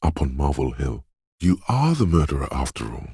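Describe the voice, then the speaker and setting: A man playing a detective's ominous, dreamy intuition in a video game, speaking in a hushed, portentous, theatrical way with dramatic pauses and a gravelly, husky voice. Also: a bass voice, very animated, no echo, studio quality, heavily acted. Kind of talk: video game voice acting, second-person inner monologue.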